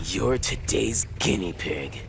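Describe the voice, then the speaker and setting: A man's voice: low-pitched, lively intonation, takes it slowly, creaky, full character voice. An actor playing a cocky, brash young male superhero trading fight banter in a video game. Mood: taunting. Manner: cocky trash-talk. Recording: voice under loud game music.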